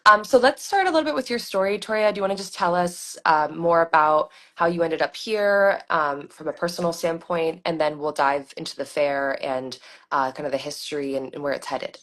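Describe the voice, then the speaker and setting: A woman hosting an interview, enthusiastic and warm in her welcome, with frequent "um" fillers. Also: low voice, fairly quick. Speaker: interview host. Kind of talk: interview host.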